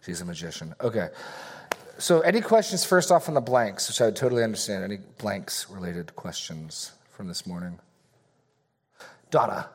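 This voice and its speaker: A man with a fairly low voice leads a Bible study in a church classroom. He talks casually and loosely, often restarting sentences and slipping in small jokes between points. The tone stays informal and conversational. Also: sing-song, moderate pace. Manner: rambling, self-correcting, joking asides. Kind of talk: casual teaching with Q&A.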